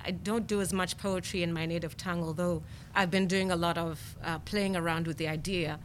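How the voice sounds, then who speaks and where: Thoughtful low-voiced woman poet. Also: steady tone, brisk, slight background noise. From Zimbabwe. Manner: thoughtful, questioning, frequent murmured agreement. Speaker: poet and storyteller guest. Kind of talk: reflective conversation.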